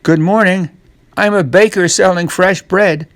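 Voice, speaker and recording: A man voicing a brave, polite boy posing as a baker in a children's storybook, flattering in manner. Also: high-pitched, measured pace, no room sound, clean studio sound, pitched above the performer's own voice. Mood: polite flattery.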